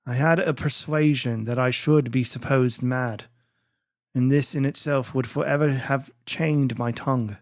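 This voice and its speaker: A narrator reads gothic literary prose at a slow, deliberate pace. The delivery is formal and measured, captured very close and dry, with a medium-pitched voice. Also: steady tone, no echo. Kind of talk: scripted dramatic audiobook narration.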